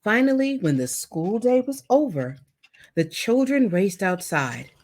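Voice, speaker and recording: Slow, expressive woman reading a storybook. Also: mid-range voice. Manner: expressive, deliberate storytelling for a child. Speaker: storytime host reading aloud. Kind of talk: animated children's picture-book read-aloud.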